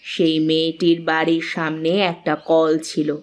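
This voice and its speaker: A woman playing a grave, cautioning mother-in-law in a cartoon story, speaking in a measured, solemn storytelling way. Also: low-pitched, little pitch movement. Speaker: narrator and voice actor. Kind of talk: scripted cartoon story narration.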